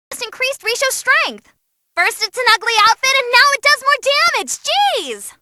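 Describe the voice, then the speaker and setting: A woman voicing an excitable, sassy young female ring announcer in a game, calling fights with theatrical, wisecracking commentary. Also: very high-pitched, no room sound, studio quality, heavily acted. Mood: flustered, exasperated. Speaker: match announcer.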